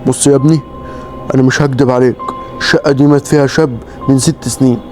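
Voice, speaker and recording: A man with an Egyptian Arabic accent voicing a weary older male flat broker who talks in a resigned, fatherly way. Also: mid-range voice, fast talker, soft background music, close to an everyday voice. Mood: grave.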